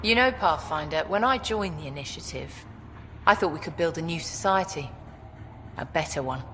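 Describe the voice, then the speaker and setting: A woman's voice: low voice, expressive, normal speed, a bit bright, long reverb tail, slight background noise. A woman playing a hardened, short-tempered outlaw leader in a video game, speaking in a blunt, menacing way. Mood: bitter, proud. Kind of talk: video game cutscene acting.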